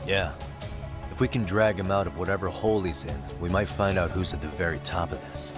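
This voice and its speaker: An actor playing a cool, confident detective in a video game cutscene, wry, blunt and challenging. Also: male voice, low-pitched, steady tone, brisk, over music, close to an everyday voice. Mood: grimly determined. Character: cool, confident male private detective, dogged and sardonic.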